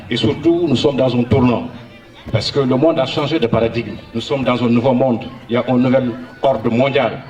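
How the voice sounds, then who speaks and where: A man, a Soninke speaker, addresses a gathering in slow, earnest phrases broken by hesitant fillers. His voice sits at an ordinary pitch and carries a roomy echo, picked up from some distance from the mic. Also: darkish tone, a little hiss or hum. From Senegal. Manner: earnest, measured oratory with frequent hesitations. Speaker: honoree giving a public speech. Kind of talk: public speech at a local ceremony.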